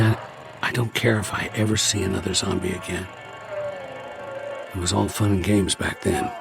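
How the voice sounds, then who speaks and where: An actor playing a world-weary, wary soldier who survived a war, talking in a colloquial, rambling way in a radio drama. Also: male voice, on the low side, vocal fry.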